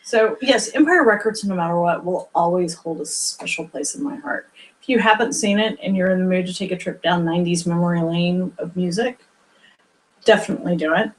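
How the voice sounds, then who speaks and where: Woman co-host, lively storyteller with a big projecting voice, casual review banter. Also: on the low side, a little fast, low-quality recording. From former record store worker. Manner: animated storyteller, loud projecting voice. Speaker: podcast co-host. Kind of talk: casual movie review chat.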